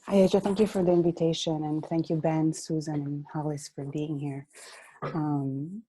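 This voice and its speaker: A woman's voice: deep voice, flat delivery, moderate pace, warm tone, breathy edge, poor audio. A woman from Italy, a gallery owner and artist answering interview questions hesitantly on a video call. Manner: hesitant, many fillers.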